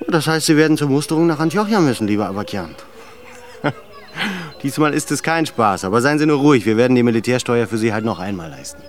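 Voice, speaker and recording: A man's voice: medium pitch, lively intonation, fast, soft background music, natural voice. An actor playing a thoughtful, controlled middle-aged Armenian father and reserve officer in a radio drama. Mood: concerned, resolute. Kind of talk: naturalistic German radio drama acting.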